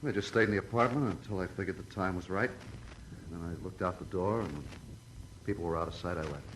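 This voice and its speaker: A man's voice: sits low, fast, close to an everyday voice. An actor playing a bitter, defensive, stubbornly protective tough guy in an old-time radio noir, using street talk. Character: defensive, bitter, protective older brother confessing to murder. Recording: noisy old radio drama recording.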